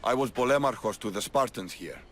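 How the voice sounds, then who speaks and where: An actor playing a proud, stern, battle-worn Spartan officer in a video game, speaking in a solemn, formal manner. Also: male voice, medium pitch, animated, a little fast, a bit bright, slight background noise, natural voice. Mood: proud, grave. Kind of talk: video game cutscene acting.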